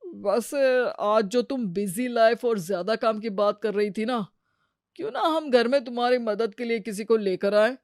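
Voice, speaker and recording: An easygoing young husband, voiced by a woman in a cartoon, speaking in a coaxing, teasing way. The voice stays fairly high for a man and sounds dry. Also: normal speed, no echo, natural voice.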